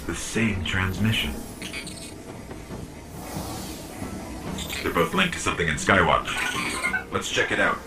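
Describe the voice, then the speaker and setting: A man's voice: low voice, normal speed, over music, natural voice, low-quality recording. A man voicing a small, earnest robotic AI companion in a video game, briefing in a clipped, urgent way. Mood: curious, alert. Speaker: companion guide. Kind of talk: scripted video game dialogue.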